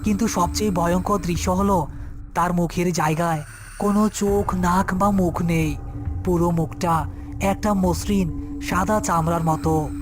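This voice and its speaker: Horror story narrator, mid-pitched, scripted storytelling over clear background music. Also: fairly even intonation, unhurried.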